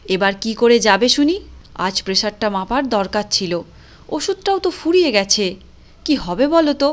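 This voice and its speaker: A woman's voice: a little high, animated, moderate pace, lightly acted. A woman voicing a kindly, talkative, exasperated housewife in a cartoon, nagging yet protective. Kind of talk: cartoon voice acting.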